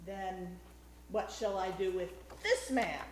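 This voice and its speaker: A woman storyteller voicing Pilate, a troubled and exasperated Roman governor, with shouted, anguished questions. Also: medium pitch, slow, voice pushed down, natural voice. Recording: echoey room, faint noise.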